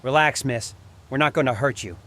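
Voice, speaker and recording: A man playing a gruff, wary army sergeant who gives curt, commanding orders in an audio comic. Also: mid-range voice, fairly quick, fairly bright tone, natural voice. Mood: guarded.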